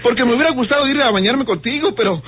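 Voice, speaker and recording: A man's voice: extremely high pitch, quick pace, husky. An actor playing a frightened, nervous man who pleads and stammers, in old radio drama style. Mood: nervous, placating. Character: frightened, pleading man stalling an armed bully. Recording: tinny old radio recording with crowd din.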